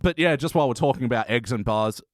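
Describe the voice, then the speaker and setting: A man's voice: medium pitch, fast, no room sound, studio quality. A man chatting casually as a podcast host, rambling and informal in his reviewing. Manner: rambling, informal, swears freely.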